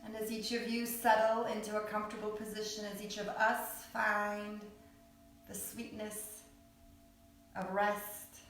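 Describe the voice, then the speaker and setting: A woman guiding a yoga nidra meditation in a soothing, unhurried way, with long pauses between short phrases. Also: mid-range voice, little pitch movement, fairly bright tone. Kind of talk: guided meditation. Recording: echoey, distant mic with background music.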